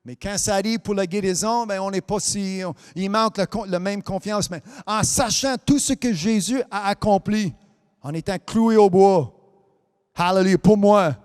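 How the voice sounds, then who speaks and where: A man preaching a sermon in a high voice, his first language English. He fills his delivery with amen and hallelujah, breaks off to restart phrases, and drifts into a sing-song lilt. His voice carries a long reverb tail like a church hall. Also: moderate pace, darkish tone, smooth.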